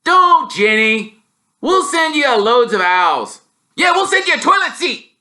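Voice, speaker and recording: A man voicing cheeky, excitable teenage twin boys in a storybook, loud, eager and joking. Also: very high-pitched, a little slow, voice pushed up, full character voice. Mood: teasing.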